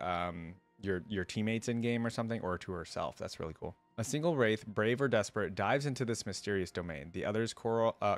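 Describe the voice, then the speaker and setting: Low-voiced man reading and reacting. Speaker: reaction video host. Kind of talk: reading a story aloud with casual commentary.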